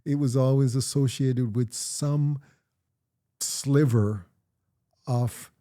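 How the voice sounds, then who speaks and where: Dark-voiced Jamaican man, reflective. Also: mid-range voice, fairly even intonation. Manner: reflective, measured storytelling. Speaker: interviewee, parent. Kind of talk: casual conversation.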